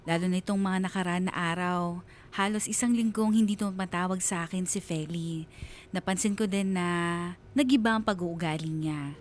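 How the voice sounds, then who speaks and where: A woman's voice: on the low side, normal speed, a little mellow, soft background music, lightly acted. A woman acting a betrayed, sorrowful Filipino husband who narrates a radio drama in an earnest, confessional way. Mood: sorrowful, confiding. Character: sorrowful betrayed husband confiding his story.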